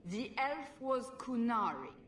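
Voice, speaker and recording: A woman's voice: fairly high pitch, sing-song, takes it slowly, a bit bright, heavily acted. Stern woman fighter, echoing. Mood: stern questioning. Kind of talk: video game banter acting. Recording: echoey, distant, faint ambient noise.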